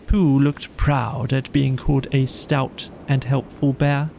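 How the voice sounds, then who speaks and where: A man's voice: medium pitch, flat delivery, slow, gravelly, warm tone, slight background noise. A man narrating a children's bedtime story as an audiobook reader.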